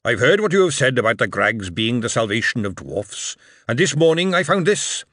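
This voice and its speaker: Old dwarf cobbler, read by a man, gruff and blunt, lively in the telling, dry sound. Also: medium pitch, moderate pace, no room sound. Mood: gruff reminiscing. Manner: gruff, telling a war story with rising excitement.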